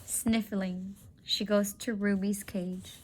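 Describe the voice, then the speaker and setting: A woman teacher reading a novel aloud to her students, often softly whispering and stopping for emotional asides. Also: mid-range voice, level tone, slow pace, a little hiss or hum, rough recording.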